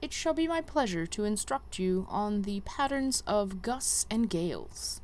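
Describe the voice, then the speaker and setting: A woman's voice: medium pitch, expressive, a little slow, a little mellow, a little hiss or hum, close to an everyday voice. A woman voicing an earnest, inexperienced young female conjurer from a fantasy game, polite and hesitant as she reads the quest dialogue. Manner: polite, hesitant, archaic phrasing.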